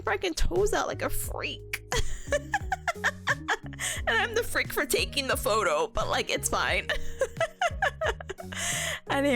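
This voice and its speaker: A woman's voice: on the high side, a bit bright. A young woman streamer chatting casually with viewers, bubbly and giggly, her voice partly worn out. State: voice partly gone. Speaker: streamer hosting a study stream. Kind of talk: casual chat reading viewer messages.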